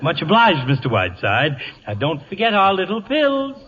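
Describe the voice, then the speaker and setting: An actor playing an oblivious, older small-town doctor, jovial, hesitant and obsequious, in radio comedy style. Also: male voice, on the high side, wildly swooping pitch, normal speed, sharp tone, heavily acted. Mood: eager, jovial. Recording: dull old radio broadcast recording.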